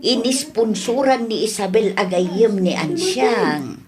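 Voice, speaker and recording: Slow-talking chatty village wife, acted by a woman. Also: medium pitch, crowd noise, natural voice. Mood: chatty, easygoing. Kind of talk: comedy radio drama acting in Ilocano.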